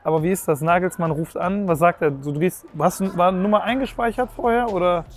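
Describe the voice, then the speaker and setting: A young man hosting a football show in an indoor hall, talking in an animated, joking way. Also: high-pitched, fast talker. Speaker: host. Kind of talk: excited casual chat.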